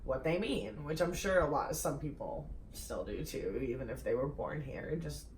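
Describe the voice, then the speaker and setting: A woman's voice: deep voice, animated, normal speed, darkish tone. A young woman from Russia, a native Russian speaker, telling rambling stories in casual chat, laughing and swearing often. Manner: giggly, swears freely, rambling storytelling. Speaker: podcast host. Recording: echoey room, distant mic, faint noise.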